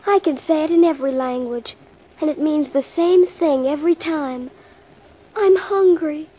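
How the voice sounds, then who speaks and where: A woman's voice: normal speed, lightly acted. An actress playing a small, hungry little girl in a dream, pleading in 1940s radio style. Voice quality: high, soft and childlike. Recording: muffled old radio recording.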